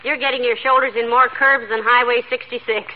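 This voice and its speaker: An actress playing a sweet, patient, dryly teasing wife in a 1940s radio comedy. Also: female voice, on the high side, steady tone, fairly quick, natural voice. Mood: pleased, teasing. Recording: tinny, very noisy old radio broadcast.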